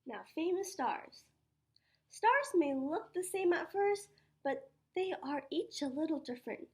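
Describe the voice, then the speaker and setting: A woman preschool teacher reading a children's science picture book aloud, putting questions to her young listeners in an animated, encouraging way. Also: very high-pitched, measured pace. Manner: child-directed, asks questions and answers them herself.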